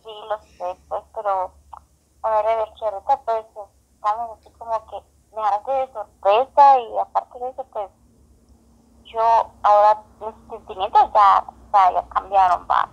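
A woman chats casually on a phone call. Her voice is mid-pitched with plenty of fry, and it comes through dull and muffled from a phone speaker. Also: moderate pace. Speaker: prank call recipient.

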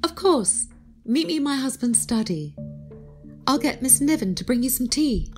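A woman's voice: high-pitched, big pitch swings, moderate pace, soft background music. A woman acting as a well-to-do, composed but defensive wife and hostess in an audio drama. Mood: gracious.

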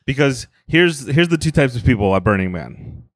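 A man co-hosting a podcast, trading crude jokes in a flat, deadpan way. His voice sits in a middle register and leaps up when he mimics people. The sound is dry and close with no room echo. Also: normal speed. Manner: crude deadpan joking, swings up high for mock voices. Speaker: podcast co-host. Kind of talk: casual comedic chat.

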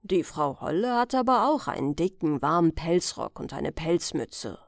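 A woman reading a fairy tale as an audiobook narrator, in an expressive, deliberate storytelling manner. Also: low-pitched, vocal fry, no room sound, clean studio sound.

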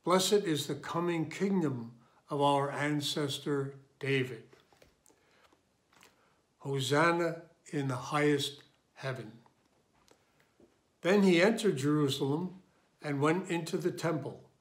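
A man in his sixties reading scripture in a measured, deliberate way, recorded in a room at home. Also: on the high side. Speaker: lay scripture reader.

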